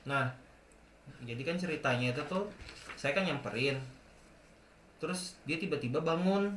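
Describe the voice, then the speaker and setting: A man's voice: on the high side, expressive, normal speed, fairly bright tone, a little hiss or hum. A young man telling a ghost encounter in casual speech, hesitant and often correcting himself. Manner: hesitant, many self-corrections. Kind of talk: casual storytelling. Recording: roomy echo.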